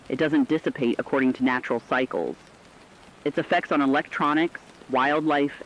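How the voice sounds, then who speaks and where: A man's voice: medium pitch, natural voice. A solemn male radio co-anchor played by an actor reads a dramatic scripted bulletin about a disaster. His mid-range voice is articulate, and the sound is slightly dull, with faint rain noise behind it. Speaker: news co-anchor.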